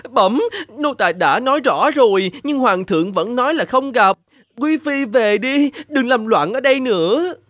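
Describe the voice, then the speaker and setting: An actor playing a fussy, officious palace eunuch servant in an animated fairy tale, prim in manner. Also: male voice, normal speed, dry recording. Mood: firm. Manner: prim, high-pitched. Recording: loud music behind the voice.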